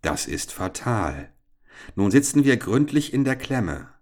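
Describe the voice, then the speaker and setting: A man's voice: mid-range voice, big pitch swings, unhurried, a little mellow, voice pushed up. A man reading aloud and voicing a cool-headed, sardonic teenage boy adventurer in a storybook tale. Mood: confident. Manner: mocking, confident, emphatic.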